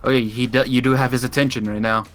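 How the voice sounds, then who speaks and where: A man's voice: medium pitch, little pitch movement, fast talker, faint music behind. A man chatting casually as a player in a tabletop roleplaying game.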